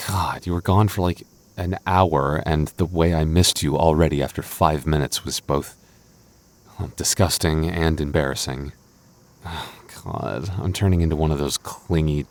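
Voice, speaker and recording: A man playing a clingy, jealous young boyfriend in an intimate roleplay audio, talking casually and haltingly, prone to stammering when flustered. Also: sits low, a little mellow.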